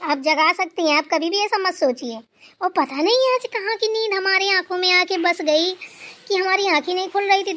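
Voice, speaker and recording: A woman's voice: very high voice, fairly bright tone. A woman voicing a dutiful, anxious young daughter-in-law who talks eagerly and pleads.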